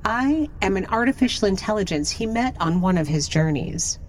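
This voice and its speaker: A woman's voice: mid-range voice, lively intonation, moderate pace, slight background noise, lightly acted. A woman playing a polite, analytical artificial intelligence in an audio drama, speaking formally and precisely. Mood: polite, explanatory.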